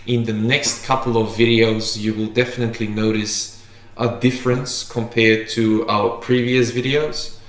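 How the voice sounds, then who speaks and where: A man's voice: fairly low pitch, fairly even intonation, moderate pace. A man in his thirties, a native Macedonian speaker, chatting casually as host of a home coffee livestream, thinking aloud with many fillers and restarts. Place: room in a home. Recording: echoey room.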